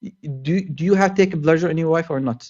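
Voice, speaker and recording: Over dull, lo-fi call audio, a man with an Arabic accent argues in a debate. He talks very fast in a slightly high voice, yet his English is halting and repetitive, with a defensive edge. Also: a little mellow. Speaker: caller in a debate. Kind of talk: argumentative live debate.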